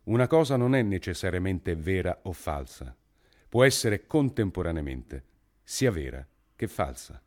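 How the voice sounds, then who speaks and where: A man playing an earnest writer who gives a formal public speech in a measured, deliberate, rhetorical way. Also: sits low, very animated. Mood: solemn, earnest. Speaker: actor reading a writer's speech. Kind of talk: declamatory dramatic monologue, formal speech.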